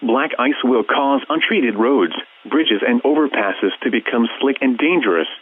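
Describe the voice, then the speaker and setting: A narrow, tinny radio band carries a synthetic man's voice at a middle pitch. He reads emergency weather warnings as an automated announcer, formal and measured throughout. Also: breathy edge. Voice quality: synthetic text-to-speech voice. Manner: flat, formal, measured reading. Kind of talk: scripted emergency weather bulletin.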